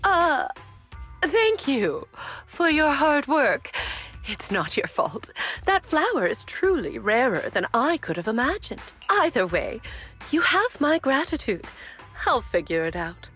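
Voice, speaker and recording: Game role: composed, courteous lady florist, slow-paced and expressive, formal phrasing. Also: high voice, faint music behind, full character voice. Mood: gracious. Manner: formal, measured. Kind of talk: video game character acting.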